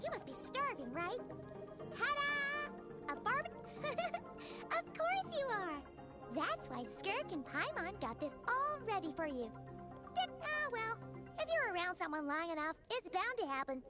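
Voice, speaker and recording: A woman voicing a tiny excitable female companion creature in a video game cutscene, bubbly and talking about herself by name. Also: very high-pitched, unhurried, exaggerated voice. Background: game music.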